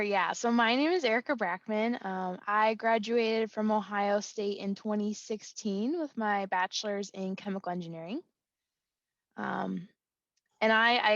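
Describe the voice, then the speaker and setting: A young woman, an alumna working as a product manager, introducing herself in a brisk, straightforward way on a video call. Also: medium pitch, no echo. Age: young adult. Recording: dry, dull-sounding.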